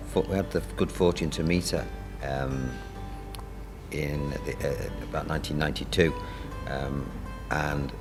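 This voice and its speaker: A man gives an interview as a theatre producer. His voice is extremely low, the talk halting and conversational, with long reverb and music underneath. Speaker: playwright and producer. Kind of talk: interview reminiscence.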